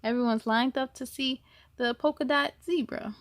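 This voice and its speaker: A woman hosting a children's story time, reading a picture book aloud expressively, with animated exclamations, musing asides and questions to the listeners. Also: sits high, normal speed, a little hiss or hum.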